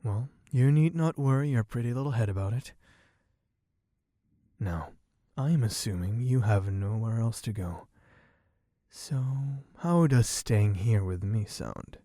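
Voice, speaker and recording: A man voicing a suave, possessive, bloodthirsty vampire in a low, husky, teasing voice for an ASMR roleplay. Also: lively intonation, warm tone, dry recording, clean studio sound. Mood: possessive, coaxing. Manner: slow, teasing, theatrically genteel, purring endearments.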